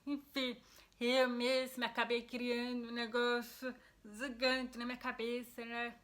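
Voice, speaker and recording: A woman's voice: on the high side, flat delivery, unhurried, a bit bright. A young Brazilian woman with the speech of a deaf person, which others hear as a foreign-sounding accent. She is a vlogger explaining something earnestly and casually to the camera. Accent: Brazilian Portuguese. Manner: earnest, explanatory, with frequent restarts.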